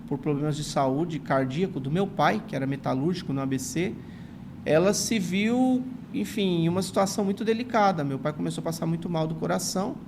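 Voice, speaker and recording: Man in his forties, São Paulo accent, gravelly voice, somewhat high, earnest storytelling, faint room hum behind. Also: brisk. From São Paulo, Brazil. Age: 40s. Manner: earnest, grateful, many "não é" tags. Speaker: guest, retired teacher and writer. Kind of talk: reflective storytelling in an interview.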